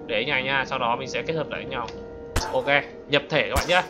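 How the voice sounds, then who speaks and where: A man's voice: mid-range voice, quick pace. A man giving casual, exclamatory gameplay commentary as a gaming YouTuber, throwing in English exclamations. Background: music.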